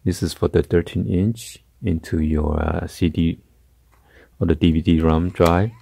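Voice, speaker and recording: A man giving a casual hands-on tech tutorial in a halting, hesitant way. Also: low-pitched, steady tone, creaky, dark tone, slightly rough. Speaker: tutorial host. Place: carpeted room indoors.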